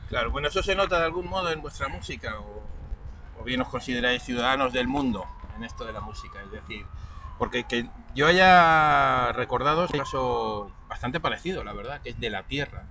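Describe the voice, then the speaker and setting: A man with a Spanish accent interviewing a band, asking measured, thoughtful questions. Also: mid-range voice, lively intonation, a crowd in the background. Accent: Spanish from Spain. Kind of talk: radio-style music interview. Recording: distant mic with background noise.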